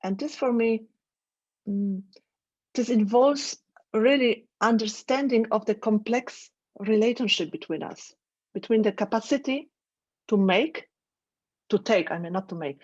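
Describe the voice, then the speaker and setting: A woman with a Polish accent, a trainer giving a live online lecture, thoughtful and halting, often restarting her phrases. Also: mid-range voice, a little mellow, husky, lo-fi sound. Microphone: video call.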